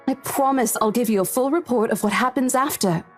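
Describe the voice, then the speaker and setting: A woman's voice: fairly high pitch, fairly quick, close to an everyday voice. A woman as an earnest, caring game heroine over music. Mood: concerned, pleading. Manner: earnest, coaxing, insistent. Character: caring, firm young female real estate agent. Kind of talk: visual novel game voice acting. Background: background music.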